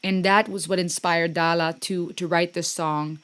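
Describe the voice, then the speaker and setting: Gushing woman, lowish voice. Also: fairly even intonation, moderate pace, clean tone. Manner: warm, gushing, searching for words with many ums. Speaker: music reaction host. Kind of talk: casual reaction commentary.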